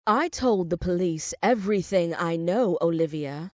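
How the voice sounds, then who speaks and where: A woman's voice: fairly low pitch, animated, a little slow, darkish tone, dry recording, clean studio sound. A woman voicing a composed gallery owner in an audiobook, formal and controlled, with a British accent. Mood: sorrowful, controlled. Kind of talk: audiobook character voice.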